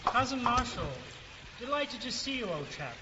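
A man playing a jovial, effusive middle-aged country gentleman with a British accent in an audio drama. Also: very high-pitched, sing-song, normal speed. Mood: hearty, welcoming. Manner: hearty, genial, effusive. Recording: dull, reverberant, faintly noisy recording.

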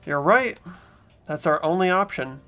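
An earnest teenage schoolboy as someone acts him narrates a visual novel and speaks his own lines. He sounds youthful and anxious, with very varied intonation at a middle pitch. Game music plays softly underneath. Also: expressive, unhurried. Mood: subdued narration.

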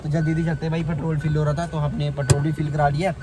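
A man's voice: on the high side, little pitch movement, rapid-fire. A young man from India chatting casually as a vlogger, addressing viewers with repeated catchphrases. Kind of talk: casual vlog chat. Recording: noisy outdoor crowd, loud music.

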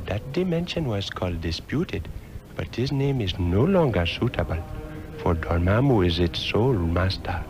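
An actor playing an aged, weary mystic master in a 1960s radio drama, solemn and fatherly in formal archaic phrasing. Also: low voice. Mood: grave, fatherly. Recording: muffled old radio drama, music behind.

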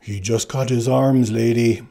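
A man's voice: medium pitch, fairly even intonation, a little slow, gravelly, dark tone. A man voicing a good-natured male giant who reports events plainly in a storybook. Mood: matter-of-fact.

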